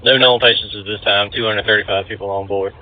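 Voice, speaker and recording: Over a narrow, tinny two-way radio channel comes a man's lowish voice. He commands a fire scene, answering in terse, procedural phrases. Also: steady tone, fast talker. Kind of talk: terse emergency radio dispatch traffic.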